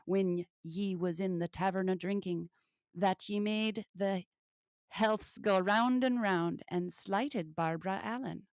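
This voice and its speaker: A woman's voice: medium pitch, takes it slowly, clean tone, no room sound, studio quality. Woman reading as a cold, scornful young maiden. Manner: reproachful.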